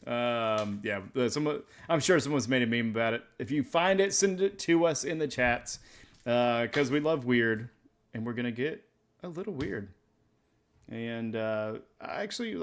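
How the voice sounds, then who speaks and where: A man's voice: mid-range voice, lively intonation, moderate pace. Laid-back male sports podcast host. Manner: laid-back, laughs easily, loose. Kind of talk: casual sports chat.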